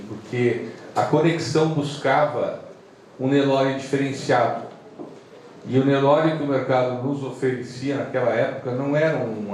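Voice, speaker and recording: A southern Brazilian man talks to an audience in a conversational, direct way. His tone is soft-edged and mellow. He is heard from a distance in a strongly reverberant room, as someone moderating an event would be. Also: mid-range voice. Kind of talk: informal speech to an audience. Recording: echoey room, far mic, faint noise.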